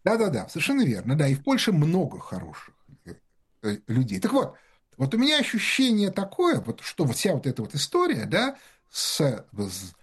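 A man's voice: on the high side, huge pitch swings, normal speed, breathy edge. A man telling rambling anecdotes in casual talk-show conversation, full of fillers and restarts. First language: Russian.

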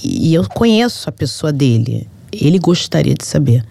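A woman from Brazil with a Brazilian accent, telling a personal story in an emotional, earnest and detailed way, halting at heavy moments. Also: deep voice, sing-song, creaky, dark tone, husky.

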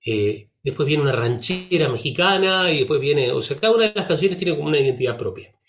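A man's voice: on the high side, lively intonation, quick pace, a bit bright, slight background noise. Rioplatense-accented man, musician guest, reflective, easygoing chat. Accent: Rioplatense Spanish. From Uruguay. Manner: reflective, uses many fillers and tag questions. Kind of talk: relaxed conversational interview.

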